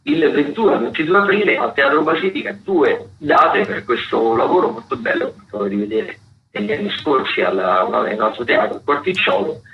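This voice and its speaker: Guy on community radio reading out whats on, kinda stop-start, middling pitch, bit of hiss. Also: big pitch swings. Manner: halting, stop-and-start phrasing with repeated words. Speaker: radio host presenting theatre listings. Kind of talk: semi-improvised spoken event listings on radio. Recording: muffled, noisy community radio broadcast.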